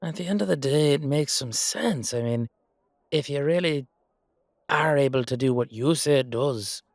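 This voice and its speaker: A man voicing a genial, shrewd knight in a fantasy audio roleplay, speaking in a measured, folksy, old-fashioned way. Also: mid-range voice, no room sound, clean studio sound, natural voice. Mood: considering. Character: male knight, genial, shrewd negotiator on royal business.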